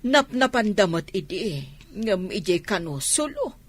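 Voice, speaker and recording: A woman acts as an elderly village mother in a radio comedy drama. She frets and scolds with lively, swinging intonation. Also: mid-range voice, measured pace. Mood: fretful, scolding.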